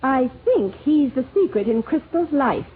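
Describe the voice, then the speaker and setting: An actress playing a poised, lovelorn but resolute society wife in a 1950s radio drama, speaking in an earnest, genteel way. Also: female voice, high voice, lively intonation, measured pace, slight background noise. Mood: confident, knowing. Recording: tinny 1950s radio recording.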